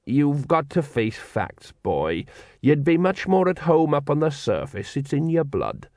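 A man's voice: on the high side, big pitch swings, a little mellow, dry recording, clean studio sound. A man voicing a worried old male dwarf king who hesitates and fumbles for words. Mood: hesitant. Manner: hesitant, halting with ums.

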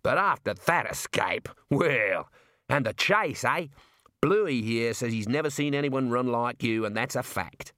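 A man's voice: medium pitch, animated, measured pace, fairly bright tone, no echo, studio quality. A man voicing a cheerful, matey jailer with an Australian accent and a breezy, slangy manner in an audiobook. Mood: cheerful, admiring. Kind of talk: audiobook character voice.

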